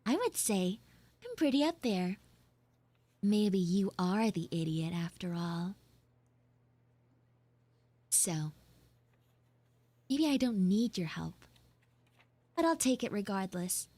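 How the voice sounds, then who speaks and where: A woman voicing a flustered young tsundere schoolgirl in a live audio roleplay, halting, awkward and defensive. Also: medium pitch, sing-song, dark tone, dry recording. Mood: smug, teasing. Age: young, school student. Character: flustered tsundere frog girl, failing art student.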